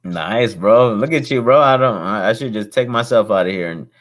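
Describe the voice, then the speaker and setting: Friendly rambling male host, low voice. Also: normal speed. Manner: friendly, rambling, says "bro" and "my man". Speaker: host, real estate investor. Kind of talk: casual interview chat.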